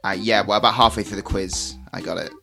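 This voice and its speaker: A woman's voice: a bass voice, steady tone, fast talker, gravelly, bright, forceful tone, soft background music. A woman co-hosting a podcast, taking a quiz, thinking aloud and laughing often. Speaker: podcast co-host and quiz contestant. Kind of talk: casual podcast chat.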